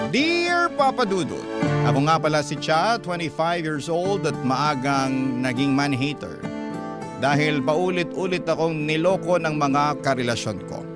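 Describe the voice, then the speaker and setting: A man reading a listener's letter as a radio story host, in dramatic scripted narration. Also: on the high side. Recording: music clearly behind the voice. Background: instrumental music.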